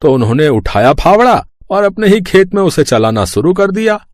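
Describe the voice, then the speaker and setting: A man playing a gentle village father who talks patiently and fondly, like telling family history, in a storybook tale. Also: high-pitched, very animated, normal speed, no room sound, clean studio sound. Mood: fond, reflective. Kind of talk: storybook character acting.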